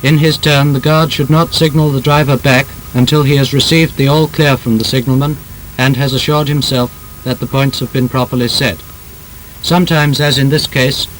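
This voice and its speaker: A British man narrates a vintage training film in a formal, measured voice. His delivery is emphatic and scripted, in the manner of 1930s instruction. The old soundtrack carries a faint rumbling noise, and his pitch sits in the middle of the male range. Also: fairly bright tone, poor audio.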